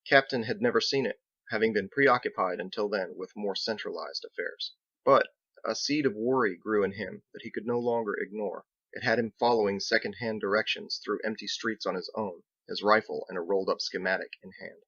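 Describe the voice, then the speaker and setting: A man's voice: fairly low pitch, brisk, a bit bright, dry recording, dull audio. A man reading scripted audiobook narration as the author of the story. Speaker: author narrating his own book.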